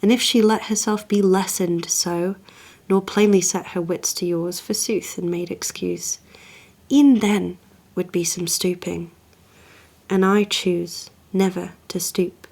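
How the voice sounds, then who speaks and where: A cold, proud Renaissance duke performed by a woman delivers a dramatic verse monologue at a slow, measured pace. The manner is haughty and insinuating, often hushed, with possessive menace under the courtesy. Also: lowish voice, fairly even intonation. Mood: cold, menacing. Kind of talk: dramatic monologue, poetry reading.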